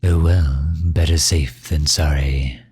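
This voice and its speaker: A man's voice: rumbling low, fairly even intonation, takes it slowly, a little mellow, husky, no room sound, studio quality, heavily acted. A man playing a pompous, suave megalomaniac villain in an ASMR audio roleplay, with leisurely, formal wording and impatience toward his servant. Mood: smug, explaining.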